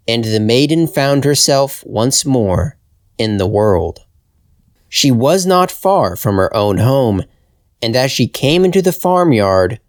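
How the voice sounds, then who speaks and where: A man reading a fairytale as a storyteller, in careful, deliberate scripted narration for language learners. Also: medium pitch, no room sound, clean studio sound. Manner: deliberate, expressive, carefully phrased.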